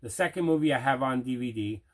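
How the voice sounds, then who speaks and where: An enthusiastic man with a mid-pitched voice presents items from his collection. He talks conversationally, in the casual manner of an unboxing video. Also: fast talker. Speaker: movie collector, video host.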